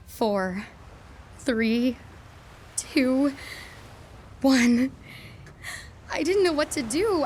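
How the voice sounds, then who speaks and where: A woman plays a romantic teenage girl in a radio drama, confiding in the one she loves with playful teasing. Her voice sits at a medium pitch, with ambient noise behind it. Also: lightly acted. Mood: tender, excited, then giddy, awed.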